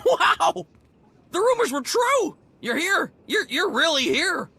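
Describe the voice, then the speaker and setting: A woman voicing an excitable, chatty alien local in a video game, gushing and informal, with a husky edge. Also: very high voice, takes it slowly, full character voice. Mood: excited. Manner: gushing, breezy, informal slang. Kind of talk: video game cutscene acting.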